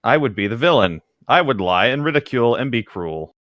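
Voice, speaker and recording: A man's voice: mid-range voice, lively intonation, brisk, no room sound, lo-fi sound. A man co-hosting a joking group read-along, moving between reading aloud and casual commentary. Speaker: podcast co-host reading a novel aloud.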